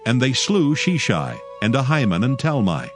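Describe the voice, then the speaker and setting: A man's voice: medium pitch, big pitch swings, moderate pace, faint music behind. A man narrating scripture from a script in a solemn, dramatic storytelling manner.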